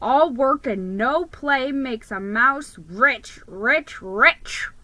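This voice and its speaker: Woman acting a thundering old grandfather mouse, pitched up. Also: lively intonation, extremely slow, dry recording, full character voice. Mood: gruff, bossy. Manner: loud, blustering, emphatic. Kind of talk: children's storybook dramatic reading.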